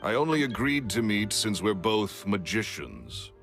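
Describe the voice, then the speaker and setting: An actor playing a wealthy, short-tempered retired magician in a video game, curt, dismissive and impatient. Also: male voice, on the low side, sing-song, measured pace, gravelly, fairly bright tone, faint music behind, exaggerated voice. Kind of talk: English game voice acting.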